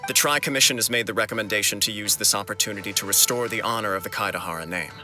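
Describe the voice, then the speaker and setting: A man's voice: lowish voice, racing, over music, close to an everyday voice. An actor playing a courteous, earnest nobleman in a video game, speaking formally and politely. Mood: solemn, explanatory. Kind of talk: video game cutscene acting.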